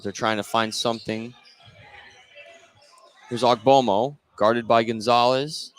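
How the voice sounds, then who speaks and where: A man's voice: mid-range voice, steady tone, slow pace, smooth. A man doing live play-by-play radio commentary of a basketball game. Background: indoor basketball game, bouncing ball.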